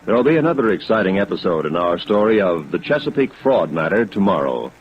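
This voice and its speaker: A man's voice: low voice, sing-song, quick pace, a little hiss or hum. A man announcing a 1950s radio serial in a formal, dramatic, projected style. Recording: dull vintage radio, faint music.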